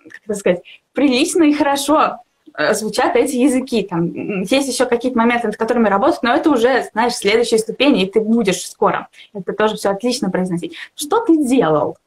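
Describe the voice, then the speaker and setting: A woman's voice: on the high side, lively intonation, quick pace. A young woman chatting casually as a language teacher in a live discussion, encouraging and reflective, often searching for words. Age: young adult. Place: indoor room at home, video call.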